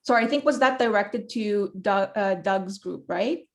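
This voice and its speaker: A woman's voice: mid-range voice, moderate pace. A woman chairing an online academic panel over a video call, hesitant and apologetic, with light laughs.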